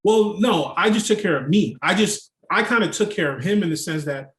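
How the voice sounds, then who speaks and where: A man's voice: high-pitched, expressive, fairly quick, a bit bright. Rambling New man in an echoey room. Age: 30s. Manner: storytelling, rambling, with frequent "like" fillers. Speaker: guest. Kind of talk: casual podcast conversation. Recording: roomy echo.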